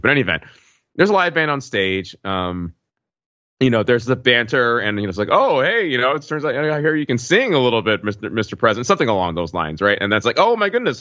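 A man's voice: lowish voice, sharp tone, no room sound. An American man from Alabama on a podcast panel, chatting casually and explaining things with conversational asides. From Alabama, United States. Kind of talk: casual chat, anecdotal explaining. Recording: slightly dull podcast audio.

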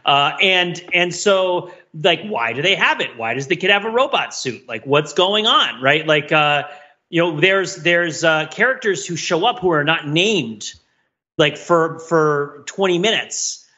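A man with a forceful, bright voice that sits a little high, co-hosting a casual film discussion. He rambles and digresses in an animated, wryly analytical way. The audio is slightly dull and lo-fi. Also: unhurried. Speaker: podcast co-host.

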